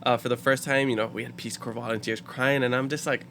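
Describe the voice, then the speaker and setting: A man's voice: medium pitch, quick pace, a little hiss or hum. Laughing young man from Hawaii. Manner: lively storyteller who laughs often. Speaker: podcast guest. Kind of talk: casual podcast chat.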